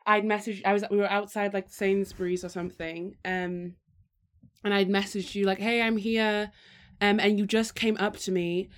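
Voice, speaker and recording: A young woman co-hosting a podcast, moving between teasing, lively chat and scripted narration. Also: mid-range voice, normal speed. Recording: clear music bed underneath.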